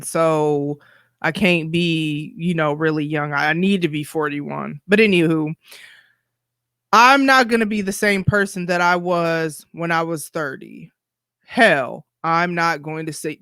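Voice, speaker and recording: A woman's voice: on the low side, fairly bright tone, clean tone, no room sound, studio quality. A woman in her forties hosting her own podcast, talking candidly in a chatty, blunt way. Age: 40s. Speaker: podcast host. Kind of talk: solo podcast monologue.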